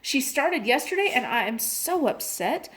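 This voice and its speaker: A fretting storybook mother, anxious and indignant, her voice rising high as she pours out her worries, played by a woman reading aloud. The pitch swings widely from phrase to phrase. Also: very animated, moderate pace, fairly bright tone. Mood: worried. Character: upset, fretting mother, middle-aged woman.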